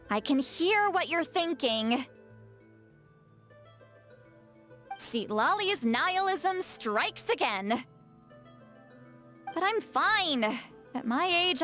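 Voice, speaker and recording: A woman voice acting a touchy, lazy, bookish young-seeming shaman in a video game, expressive and defensive, with hesitant asides and self-corrections. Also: high voice, slow pace, soft background music, exaggerated voice. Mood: defensive, flustered. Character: touchy, lazy, bookish female shaman, youthful but secretly very old.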